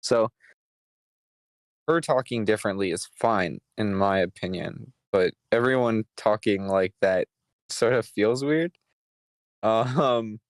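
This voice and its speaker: A man's voice: fairly low pitch, slow, long pauses, no echo. A man giving casual, slightly hesitant feedback in an online meeting. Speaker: participant giving script feedback.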